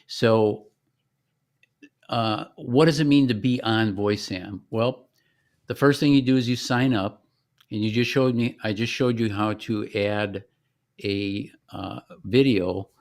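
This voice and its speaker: Guy with a lowish voice walking thru a software demo step by step, pretty conversational. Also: slow. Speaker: software founder demonstrating a product. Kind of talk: informal product demonstration.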